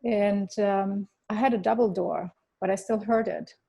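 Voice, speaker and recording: Interviewee in her fifties; husky, halting, restarts a lot. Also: medium pitch, steady tone, mellow. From Germany. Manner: hesitant, many fillers and restarts. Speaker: interviewee, architect. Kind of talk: thoughtful interview answers.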